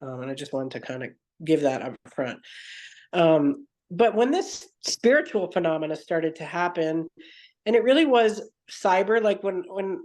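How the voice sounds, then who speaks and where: A woman's voice: lowish voice, expressive, normal speed, a little mellow. A woman guest on a podcast, telling her story at length in an earnest, digressive way and often correcting herself mid-sentence. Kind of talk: conversational interview storytelling.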